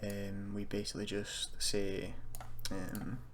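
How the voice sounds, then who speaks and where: The low-voiced man on a homemade screen-capture tutorial, hesitantly working it out aloud over faint noise and typing, putting himself down as he goes. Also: completely flat delivery, slow. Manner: hesitant, self-deprecating, thinking aloud. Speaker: tutorial presenter. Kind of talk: informal software tutorial, screen walkthrough. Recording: noisy room with keyboard clicking.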